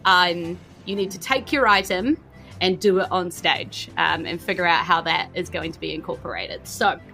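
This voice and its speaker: A woman with a New Zealand accent improvising narration as a tabletop game master in a conversational, halting way. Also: fairly low pitch, creaky, sharp tone. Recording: faint music behind the voice. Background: faint background music.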